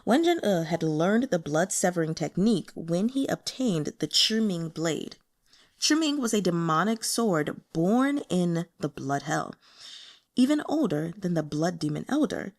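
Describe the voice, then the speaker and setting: A woman reading fiction aloud as an expressive audiobook narrator. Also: on the low side, unhurried. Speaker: audiobook reader.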